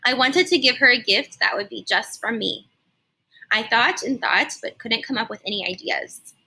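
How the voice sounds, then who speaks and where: A woman reading a children's story aloud like a teacher, animated and explaining things simply for young listeners. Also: a little high, moderate pace, husky, rough recording. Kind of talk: expressive children's read-aloud with explanatory asides.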